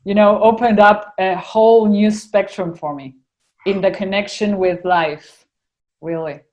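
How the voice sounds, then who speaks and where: A woman's voice: mid-range voice, steady tone, measured pace, a little mellow, lo-fi sound. A young woman with an Argentinian accent, telling her story as a podcast guest in casual conversation, full of fillers and restarts. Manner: chatty, many fillers and restarts.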